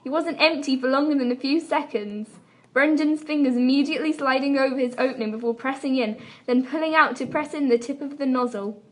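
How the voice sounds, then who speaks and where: A woman's voice: sits high, a little fast. A young woman giving an amateur dramatic reading of fan fiction, with a giggly manner. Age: young adult. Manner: giggly, breaking into laughter while reading. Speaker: amateur reader. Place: room at a school.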